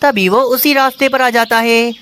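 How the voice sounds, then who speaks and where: A woman reading scripted narration for a children's animated story. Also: a little high, moderate pace, clean tone.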